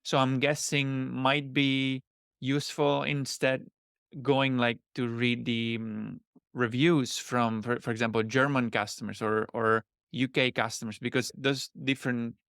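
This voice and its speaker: A man's voice: medium pitch, flat delivery, unhurried, creaky, fairly bright tone, clear, resonant tone, dry recording, clean studio sound. A man hosting a podcast, asking questions in a hesitant, thinking-aloud way and ending points with "right?". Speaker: podcast host. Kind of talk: casual interview questions.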